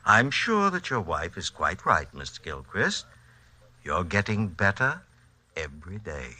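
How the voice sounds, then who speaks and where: An actor playing a skeptical, hesitant but courteous doctor in a 1940s radio drama. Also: male voice, low voice, very animated, bright, forceful tone, full character voice. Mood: professional, placating. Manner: hesitant, professional, measured. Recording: faintly noisy 1940s radio recording.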